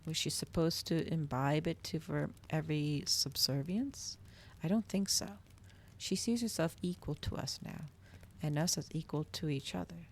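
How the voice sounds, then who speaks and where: Woman reading as earnest, low-voiced male vampire. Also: normal speed. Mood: insistent. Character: earnest, reasoning adult male vampire narrator.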